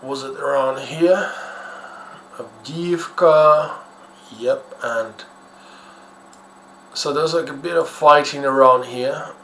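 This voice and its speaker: A man's voice: medium pitch, slow, long pauses, fairly bright tone. Echoey, rambling Ukrainian-accented man. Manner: rambling, hesitant, self-deprecating, blunt. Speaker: YouTuber host. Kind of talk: casual unscripted commentary over a map. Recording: echoey room with faint background noise.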